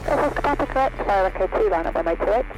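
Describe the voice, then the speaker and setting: A man's voice: high-pitched, lively intonation, racing, low-quality recording. A man working as an air traffic controller, giving clipped instructions over an aircraft radio. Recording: aircraft radio with loud music.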